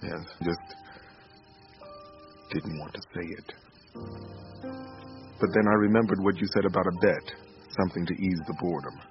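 An earnest father in a radio drama, subdued and full of regret. His low voice is sincere and plain. The audio is dull, with faint music behind it. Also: normal speed, pauses often. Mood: solemn. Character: Martin, earnest, regretful small-town father.

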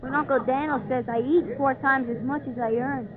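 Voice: sits high, steady tone, fairly quick, close to an everyday voice. A performer playing an eager, naive country orphan boy with plain folksy talk, in old radio-drama style. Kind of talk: 1940s-50s radio drama acting. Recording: tinny old radio, crowd behind.

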